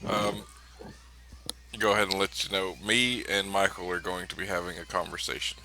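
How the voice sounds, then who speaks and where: Male role-player, low voice with a strongly sharp edge, casual in-character talk. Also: steady tone, normal speed, poor audio. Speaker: player in a tabletop role-playing game.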